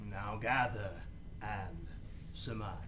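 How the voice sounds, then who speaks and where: An actor playing a fussy, long-winded old royal counselor in a Shakespearean radio play, rambling and interrupting himself theatrically. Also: male voice, lowish voice, slow, fairly bright tone, slight background noise. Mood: pompous.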